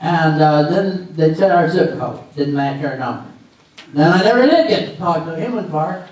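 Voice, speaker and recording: A man playing a grumpy, forgetful husband in a comic readers theater, halting and exasperated as he grumbles. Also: a little high, animated, a little mellow, natural voice. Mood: grumbling. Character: grumpy, befuddled, forgetful husband fighting phone menus. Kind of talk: readers theater comedy acting. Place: live readers theater stage. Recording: echoey hall, distant mic, noisy.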